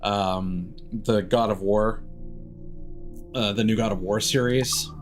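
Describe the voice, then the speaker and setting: A man's voice: on the low side, steady tone, slow, soft background music. A man doing casual live stream commentary on a game, rambling and swearing casually.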